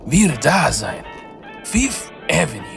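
A quirky, jokey foreign cab driver in an audio play. The delivery is extremely slow, creaky and lively in pitch, with drawn-out words. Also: male voice, full character voice. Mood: tentative. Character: odd, jokey foreign taxi driver, easily amused. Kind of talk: comic audio drama acting. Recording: music behind the voice.

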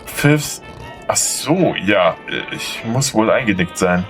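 A man's voice: on the low side, lively intonation, slow, a bit bright. A man playing a distracted, politely dry taxi passenger in a German audio drama, muttering and hesitant. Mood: dazed, flustered. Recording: music behind the voice.

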